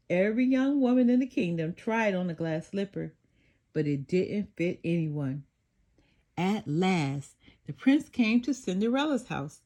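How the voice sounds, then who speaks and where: An older woman reading a children's storybook aloud, expressive and sing-song, with occasional small slips. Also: mid-range voice. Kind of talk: children's read-aloud narration.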